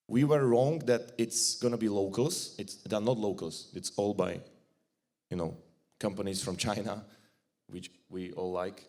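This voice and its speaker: A man with a Czech accent giving a joking, blunt conference talk, with frequent restarts and a fairly low voice. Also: darkish tone. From Czech Republic. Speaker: conference speaker. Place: conference hall.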